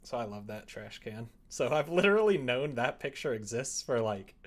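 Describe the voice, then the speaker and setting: A man's voice: mid-range voice, very animated, normal speed. A man in his late twenties chatting casually on a live stream, giggly and given to muttering to himself at his desk microphone. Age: late 20s. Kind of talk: casual live-stream chat while writing. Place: small indoor room at a computer.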